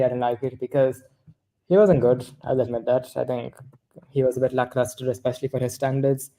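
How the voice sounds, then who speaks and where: Flat-toned man rambling about football. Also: mid-range voice, low-quality recording. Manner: rambling, self-correcting mid-sentence. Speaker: football podcast co-host. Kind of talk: casual football discussion.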